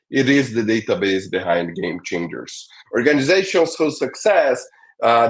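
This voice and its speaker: Man, webinar host, middle pitch, lots of uhs and ums, checks in with the audience. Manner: many fillers, checks in with "all right?". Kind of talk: presenting a tech webinar.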